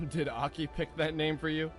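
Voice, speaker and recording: A man's voice: on the high side, flat delivery, unhurried, faint music behind, pitched above the performer's own voice, natural voice. A man playing a wry, easygoing detective in a visual novel, with a teasing, candid manner. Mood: amused. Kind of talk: visual novel character voice read-aloud.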